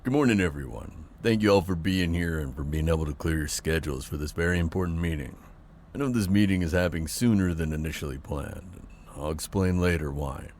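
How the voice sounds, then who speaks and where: A man's voice: low-pitched, a little fast, darkish tone, slight background noise. A man playing a stern, formal police chief with weary authority, addressing a meeting in an audio roleplay. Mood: formal, grave.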